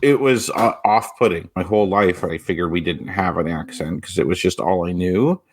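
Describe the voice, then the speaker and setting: Man, Canadian accent, very low voice, dry deadpan podcast banter. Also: normal speed, husky, no room sound. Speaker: podcast co-host. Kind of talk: casual podcast chat.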